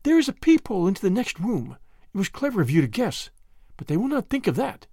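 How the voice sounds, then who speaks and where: A man voicing a quick-witted young girl in an audiobook, with an urgent, secretive manner. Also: sits high, very animated, breathy edge, no echo, studio quality, voice pushed up, heavily acted. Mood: secretive, brisk. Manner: hushed urgency, rising to theatrical French exclamations.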